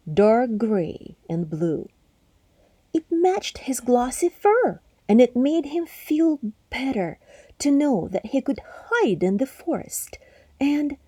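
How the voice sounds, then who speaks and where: A woman narrating a children's story aloud expressively, with deliberate phrasing and hushed, breathy word endings. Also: fairly high pitch, dry recording. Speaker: storybook reader.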